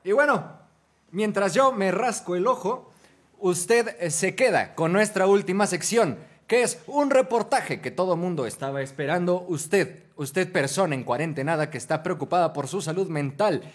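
Deadpan man hosting a spoof newscast. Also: high voice, normal speed. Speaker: comedy podcast co-host. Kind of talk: mock news anchoring.

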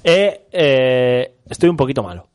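A man's voice: medium pitch, very animated, a little slow, clean tone, soft background music. A man from Spain hosting a local radio show in casual banter, joking and laughing often, with a stuffy congested nose. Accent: Spanish (Spain). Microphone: studio microphone.

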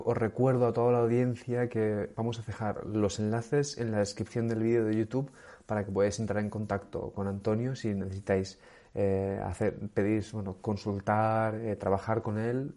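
Mellow-voiced Spanish host. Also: male voice, fairly low pitch, flat delivery. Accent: Spain Spanish. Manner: friendly, halting, many fillers. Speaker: interview host. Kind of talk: video interview hosting.

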